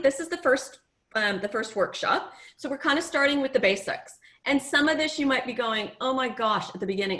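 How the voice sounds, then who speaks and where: A woman's voice: fairly high pitch, sharp tone. A middle-aged woman from California with an American accent, giving a chatty, upbeat marketing workshop over a video call. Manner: chatty and upbeat, laughs often. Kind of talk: casual webinar presenting.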